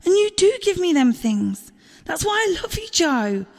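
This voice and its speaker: An actress plays a loving girlfriend fighting for her relationship in an audio drama. Her high female voice swings widely, pleading and exasperated, in informal, sweary language. A long reverb hangs around it. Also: big pitch swings, moderate pace, creaky, full character voice. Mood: baffled, frustrated. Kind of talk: naturalistic audio drama dialogue.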